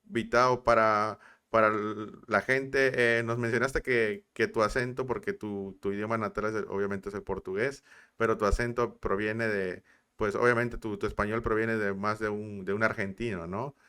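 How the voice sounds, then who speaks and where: A male interviewer with a low but bright, smooth and clear voice. He asks his questions in a hesitant way, stacking up fillers and restarts. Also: fairly even intonation, normal speed. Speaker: interviewer host.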